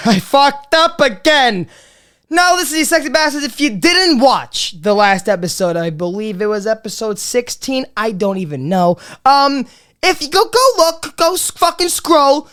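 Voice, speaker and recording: A man's voice: very high voice, animated, unhurried, a bit bright, clean tone. A man hosting a casual comedy podcast, talking in a rambling, profane way with many restarts while he is losing his voice. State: losing his voice, a bit under the weather. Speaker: podcast host.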